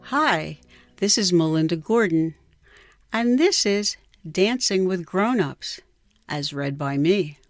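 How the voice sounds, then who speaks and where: A woman's voice: on the low side, expressive, slow pace, faint music behind. A woman reading her own personal story as scripted narration. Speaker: writer reading own memoir.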